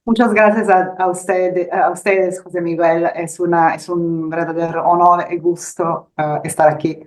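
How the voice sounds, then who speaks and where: A woman's voice: on the low side, level tone, unhurried, darkish tone, low-quality recording. A woman with an Italian accent, answering as an expert guest in an interview, often restarting phrases while searching for words. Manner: thoughtful, with frequent restarts when searching for words.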